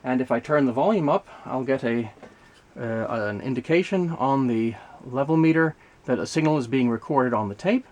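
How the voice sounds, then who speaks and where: A man giving an unscripted, hands-on technical demonstration as a video host, explaining things in a measured, methodical way. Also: mid-range voice, a little mellow, lo-fi sound. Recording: clear background noise, mechanical clicks.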